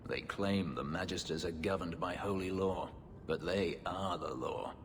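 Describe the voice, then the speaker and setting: Very deep-voiced brooding warrior, reverberant. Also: male voice, background noise. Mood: grave, bitter. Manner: measured, grave, dryly sardonic. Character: brooding, bitter male warrior from a slave-keeping empire. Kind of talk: video game voice acting.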